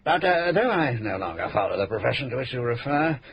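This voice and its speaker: A florid, pompous old gentleman. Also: mid-range voice, big pitch swings, fast talker, vocal fry, lo-fi sound. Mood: courtly. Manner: florid, formal, mannered. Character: courtly, pompous older male ex-private-inquiry agent. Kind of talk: radio drama acting.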